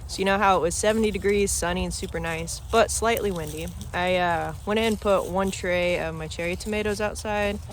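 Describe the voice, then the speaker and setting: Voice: medium pitch, steady tone, a little fast, hardly any pauses. A farmer vlogging their small farm in an easygoing, chatty way, sounding a bit nasal and stuffed up. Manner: easygoing, chatty, many ums and uhs. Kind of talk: casual vlog narration. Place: outdoors on a farm, by a chicken coop. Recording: noisy outdoor recording.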